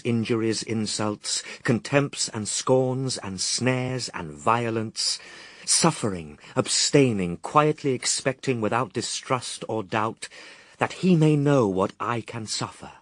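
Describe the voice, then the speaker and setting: A man's voice: lowish voice, a bit bright, dry recording. An actor playing the Son of God as a grave, patient and steadfast man, declaiming solemn verse in a radio drama. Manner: measured, declamatory, morally firm.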